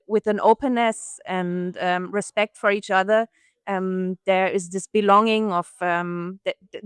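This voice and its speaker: A woman speaks as a panel guest, often stopping to search for her words. Her voice is very clear, with a dry, close sound. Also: medium pitch, dry recording. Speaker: sustainability advocate, panel guest. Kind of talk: panel discussion.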